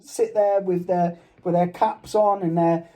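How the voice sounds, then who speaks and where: A man co-hosting a casual football chat, chatty and playful, with a voice he calls high-pitched. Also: fairly even intonation, a little slow, mellow. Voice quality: says he has a high-pitched voice. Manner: chatty, playful, argues back easily. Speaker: podcast co-host.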